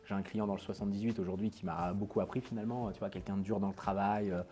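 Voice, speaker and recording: A man from Paris, a company boss, recounts his path in a casual chat. He talks quickly in a low voice, modestly, with frequent hesitations and fillers. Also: steady tone, warm tone. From Paris, France. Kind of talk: casual interview, telling his life story.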